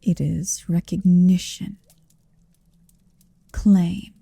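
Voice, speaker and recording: A woman playing a possessive, motherly dragon in an ASMR roleplay, soft and breathy, teasing and dominant, close on the microphone. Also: fairly low pitch, level tone, no echo, exaggerated voice. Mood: possessive, admiring. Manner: deliberate, teasing, dominant, lingering on phrases. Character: possessive motherly female dragon, tender, proud, quietly menacing. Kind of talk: ASMR roleplay, intimate slow murmuring and whispering. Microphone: close microphone, dry.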